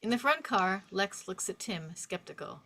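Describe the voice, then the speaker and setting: Bright-voiced woman reading stage directions. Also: mid-range voice, animated, moderate pace. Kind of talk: scripted narration at a table read. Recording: faint background noise and clicks.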